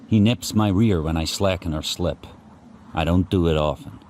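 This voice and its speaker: An actor playing a grim, watchful sled dog who narrates his harsh life in spare, deliberate sentences for a radio play. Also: sits low, darkish tone, lightly acted. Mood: stoic. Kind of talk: first-person dramatic narration in a radio play. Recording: faint background noise.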